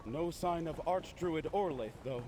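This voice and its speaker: A man's voice: on the high side, a little slow, background noise, natural voice. A man voicing a dutiful knight scout giving an urgent report in a video game. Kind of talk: video game dialogue acting.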